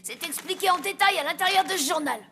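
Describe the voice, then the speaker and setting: A woman's voice: very high voice, quick pace, sharp tone, a little hiss or hum. A woman playing an alert, bossy zombie child in a children's cartoon, urgent and assertive. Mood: alert, insistent. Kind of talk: French children's cartoon dubbing.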